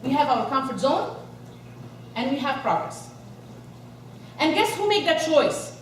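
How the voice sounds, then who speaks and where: Woman motivational speaker from Egypt, high and energetic, miked from a distance in a big echoing hall. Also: unhurried, a little hiss or hum. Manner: energetic, rallies the crowd with questions. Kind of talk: inspirational speech to a live audience. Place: large event hall. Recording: echoey hall, voice from far off.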